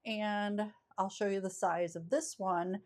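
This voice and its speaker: A woman's voice: mid-range voice, steady tone, unhurried, a little mellow. A woman hosting a craft channel, talking through a step-by-step card-making tutorial with a scratchy voice from a cold, chatty and easygoing, often correcting herself.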